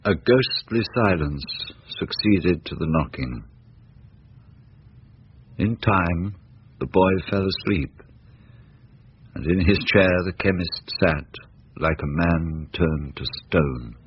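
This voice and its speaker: A man's voice: low voice, takes it slowly, long pauses, breathy edge. A man narrating a scripted story in a dramatic, classic storytelling style. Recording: dull lo-fi radio sound, faint music.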